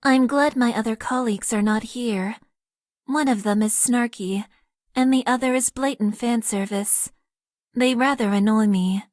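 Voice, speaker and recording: A formal, emotionally awkward young mecha-pilot girl, as an actress voices her for a dating game. She talks earnestly and deadpan in a slightly raised voice, stumbling into nervous stammers. The studio sound is close and dry. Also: a little high, unhurried, dry recording, lightly acted. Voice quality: stiff, overly formal phrasing. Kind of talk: game voice lines. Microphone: close studio mic, dry.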